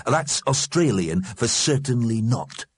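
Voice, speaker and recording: A man's voice: mid-range voice, big pitch swings, takes it slowly, breathy edge, no echo, studio quality, pitched above the performer's own voice, heavily acted. A man voicing a cheeky, unabashed dead man with an eager manner, for a storybook. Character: cheeky, keen dead man, male ghost.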